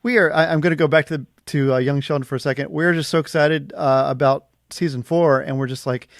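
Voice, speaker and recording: Male interview host, slightly high voice, very quick, gushing and chatty, close dry sound. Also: steady tone, dry recording. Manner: effusive, gushing fan enthusiasm. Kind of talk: casual, chatty celebrity interview.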